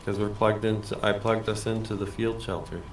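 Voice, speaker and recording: A man's voice: on the low side, steady tone, normal speed, echoey room, a little hiss or hum. A man co-hosting a casual gaming livestream, cracking dry teasing jokes and laughing a lot. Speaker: streamer co-host.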